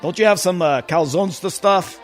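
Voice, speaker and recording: An actor playing a stressed, irritable Italian-mob boss in a comedic radio play, blustering and hesitant. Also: male voice, sits high, moderate pace, faint music behind, close to an everyday voice. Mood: exasperated. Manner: blustering, hesitant, peppered with mild swearing. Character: stressed, irritable Italian-American mob boss who secretly runs pizzeria.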